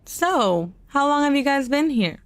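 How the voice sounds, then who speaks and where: A woman's voice: high voice, lively intonation, a little slow, no echo. Skeptical young woman in an audio drama, acted by a woman. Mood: guarded, curious. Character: skeptical, wary young woman tagging along with friend. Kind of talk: full-cast audio drama acting.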